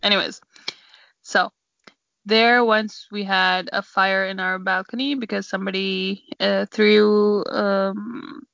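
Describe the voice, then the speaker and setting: Gravelly-voiced young woman, rambling. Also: medium pitch, fairly even intonation, slow pace, a bit bright, no echo. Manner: rambling, self-conscious about her words. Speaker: podcast guest. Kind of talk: casual sibling podcast chat. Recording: dry, dull lo-fi audio.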